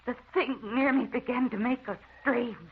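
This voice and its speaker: An actress playing an anxious, haunted wife in a melodramatic old-time radio drama. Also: female voice, fairly high pitch, fairly even intonation, moderate pace, husky. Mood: rising terror. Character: anxious, haunted wife recounting supernatural terrors. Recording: muffled, noisy old radio recording.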